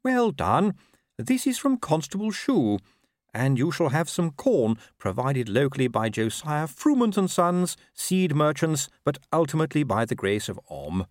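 An eager, dutiful young constable from an audiobook, played by a male narrator, addresses his betters with deference. The voice is raised in pitch and swings about in a lively way. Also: a little slow, no room sound, studio quality. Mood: pious, pleased.

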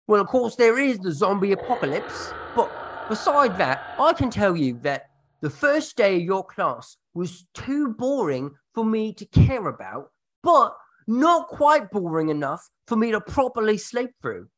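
Someone putting on a cheeky, higher-pitched Cockney street urchin. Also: very animated, measured pace, rough recording. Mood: blunt, matter-of-fact. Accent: British Cockney. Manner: slangy, cheeky, blunt. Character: cheeky young street urchin, blunt, Dickensian. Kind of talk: radio drama acting.

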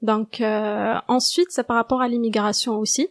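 A woman's voice: fairly high pitch, little pitch movement, normal speed, dry recording, studio quality. A woman of twenty-seven, answering questions conversationally as a podcast guest. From Algeria. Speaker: podcast guest, international student.

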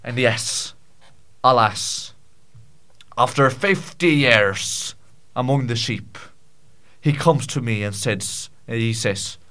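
A man's voice: mid-range voice, slow, pauses often, sharp tone. A man improvising a comic monologue as a pompous, rambling Scottish storyteller, with archaic phrasing, stumbles and repeats. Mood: mock-solemn.

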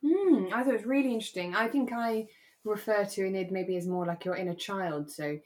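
A woman co-hosting a podcast, giving her opinions emphatically in relaxed, casual chat. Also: mid-range voice, a little fast. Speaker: podcast co-host. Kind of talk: casual chat reviewing a radio drama.

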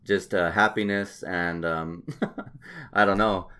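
A middle-aged man talking candidly and casually, in a halting, reflective way with frequent pauses. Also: low voice, bright, forceful tone.

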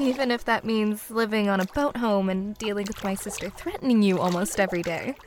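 A woman's voice: medium pitch, fairly quick, slight background noise, close to an everyday voice. A woman playing an affectionate, flirtatious man-eating mermaid in an audio drama. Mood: teasing.

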